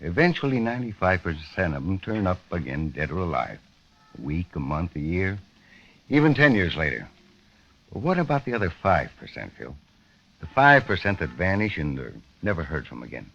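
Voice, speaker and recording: An actor playing a brisk, pressing American magazine editor in an old radio drama. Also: male voice, sits low, big pitch swings, a bit bright, slightly rough, heavily acted. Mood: pointed, probing. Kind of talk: 1940s-50s radio drama acting. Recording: muffled, noisy vintage radio recording.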